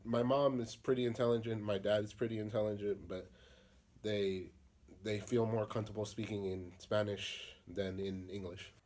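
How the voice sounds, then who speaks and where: Soft-spoken young man, halting interview answers. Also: on the low side, fairly even intonation. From United States. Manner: halting, many fillers and restarts.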